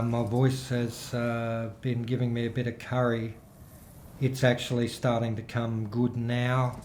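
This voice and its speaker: A man's voice: mid-range voice, a little mellow, roomy sound, a little hiss or hum. Chatty middle-aged man. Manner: rambling, chatty, with filler-heavy restarts. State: throat still recovering, voice a little worn. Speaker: gaming video host. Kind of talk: casual gameplay commentary.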